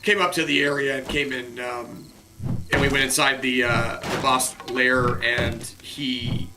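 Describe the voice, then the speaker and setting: A man's voice: medium pitch, steady tone, unhurried, background noise. A man chatting casually on a gaming stream, his voice worn from a cold, rambling with restarts and chatty asides. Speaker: streamer and co-host.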